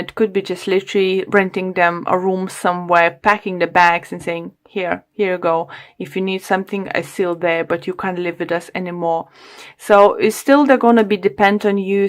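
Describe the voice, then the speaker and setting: A woman whose first language is not English, giving a tarot reading to camera in a halting way as she searches for the right English words, her voice a little worn. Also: medium pitch. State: slightly losing her voice. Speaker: tarot reader.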